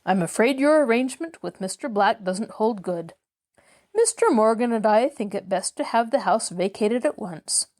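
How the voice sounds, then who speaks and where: A woman's voice: a little high, lively intonation, moderate pace, a little mellow, lightly acted. A woman voicing a formal, matter-of-fact male landlord in a storybook, reasonable but unbending. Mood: firm, businesslike. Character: brisk, businesslike grown man landlord, reasonable but unbending.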